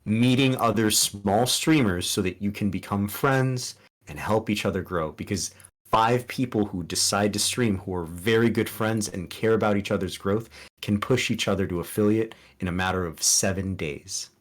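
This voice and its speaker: A man with a very low, deep voice whose first language is Spanish talks as a podcast guest. He speaks earnestly and loosely, restarting sentences and filling pauses with ums as he gives advice. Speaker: guest streamer. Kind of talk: casual podcast interview.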